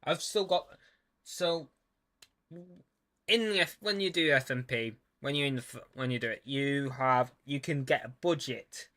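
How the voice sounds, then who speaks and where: Young male student filmmaker; bright, forward tone; halting, stuttery casual commentary. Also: medium pitch. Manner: stutters, restarts, swears casually. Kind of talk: casual commentary on his own film.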